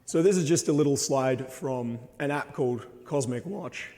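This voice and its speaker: Man, slightly hoarse, hesitant, medium pitch, long hall reverb. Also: moderate pace, dark tone. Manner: hesitant, frequent ums, earnest. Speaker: conference speaker. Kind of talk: presentation talk with slides. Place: conference hall.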